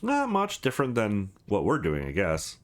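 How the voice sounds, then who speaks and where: Low-pitched man reading, hugely animated. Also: moderate pace. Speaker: let's play host. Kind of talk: reading visual novel aloud with character voices and reactions. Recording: music behind the voice. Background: game music.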